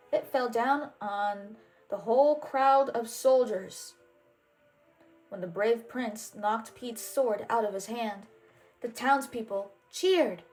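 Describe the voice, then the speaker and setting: A woman reading a children's storybook aloud in a deliberate, expressive way, as the host. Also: a little high, low-quality recording. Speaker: storybook reader and channel host. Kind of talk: storybook read-aloud narration.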